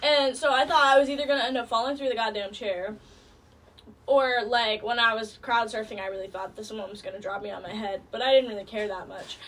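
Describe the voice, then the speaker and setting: Bright-voiced brash young woman. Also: on the high side, fairly even intonation, a little fast. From Rhode Island. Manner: brash, crude, storytelling. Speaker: podcast co-host. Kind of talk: rowdy casual chat among friends. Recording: distant mic, faint background noise.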